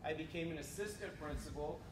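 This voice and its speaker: A man in his forties from North Dakota, telling his career story to a room with dry humor. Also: brisk. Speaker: human resources administrator. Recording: roomy echo from far off, rumble.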